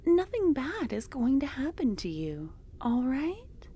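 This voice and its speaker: A woman playing a soft-spoken obsessive cultist. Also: high-pitched, huge pitch swings, slow, slight background noise, heavily acted. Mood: soothing whisper. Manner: soft-spoken, drifting into whispers; tender yet menacing. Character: obsessive possessive female cultist lover. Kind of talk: ASMR roleplay.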